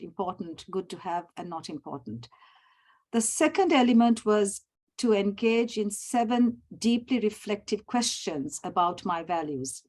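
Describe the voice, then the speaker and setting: A middle-aged woman from Sri Lanka, giving a formal prepared presentation over a video call. She is measured and often clears her throat. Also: medium pitch, a little mellow. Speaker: summit presenter.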